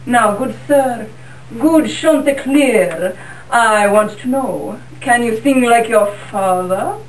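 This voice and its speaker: A young woman voicing a cunning, smooth-talking male fox in a class puppet show, speaking in a flattering, honeyed way. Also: fairly high pitch, slow pace, slight background noise. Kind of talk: school puppet show acting. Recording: roomy sound from a distant mic.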